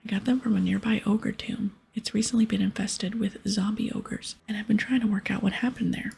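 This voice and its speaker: A woman voicing a persistent, inquisitive adventurer from a game in a plain, soft ASMR whisper. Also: mid-range voice, steady tone, a little fast, heavily acted. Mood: polite, determined. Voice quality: breathy whisper.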